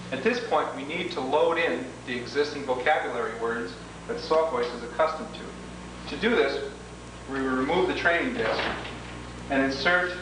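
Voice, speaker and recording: A man presenting an instructional training tape as a product demonstrator, methodically going through each step in formal wording. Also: medium pitch. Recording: echoey, noisy, distant 1980s homemade video.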